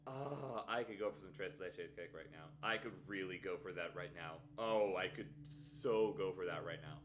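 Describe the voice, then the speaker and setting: A man hosting a live stream, chatting playfully with sudden excited jumps in his voice. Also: sits low, moderate pace, noisy background. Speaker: livestream host. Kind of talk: casual live stream chat.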